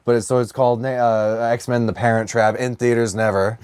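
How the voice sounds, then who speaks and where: A man's voice: medium pitch, flat delivery, brisk, darkish tone, clear, resonant tone, no echo. Riffing male podcast co-host, dry sound. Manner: riffing, joking. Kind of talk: casual comedic banter.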